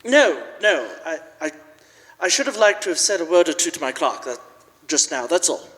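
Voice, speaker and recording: An old miser acted by a man speaks with wistful, remorseful agitation in a live radio play. The voice is high and very animated, halting and earnest. It echoes through a big reverberant hall. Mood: earnest regret. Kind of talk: live radio drama of a classic story.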